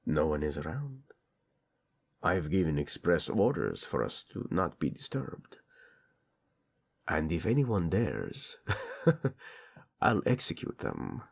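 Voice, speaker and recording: A man playing a doting, teasing tyrant prince in a romantic audio roleplay, flirtatious and tender with a husky delivery. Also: extremely low pitch, sing-song, takes it slowly, pauses often, exaggerated voice. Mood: playful, flirtatious.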